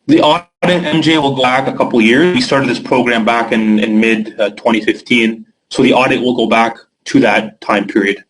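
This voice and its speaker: A young Canadian man with a Canadian accent runs a live webinar and answers viewer questions in a loose, chatty way. His voice sits at a middle pitch, and his talk is full of fillers and quick restarts. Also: a little hiss or hum, poor audio. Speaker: trading webinar host.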